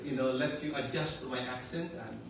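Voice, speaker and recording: A man's voice: mid-range voice, fairly quick, vocal fry. Older Filipino-accented male pastor, chatty and joking, distant mic in a roomy hall. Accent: Filipino with some Australian. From Philippines. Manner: joking and chatty, laughs often. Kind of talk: casual sermon. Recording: echoey far-off room mic with noise.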